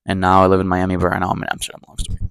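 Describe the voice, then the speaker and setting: A man's voice: deep voice, monotone, rapid-fire, fairly bright tone, clear, resonant tone, dry recording, studio quality. A young man from Ecuador in a casual daily vlog, talking candidly to the camera in a rambling, self-deprecating way. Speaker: vlogger. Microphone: camera mic, talking to camera.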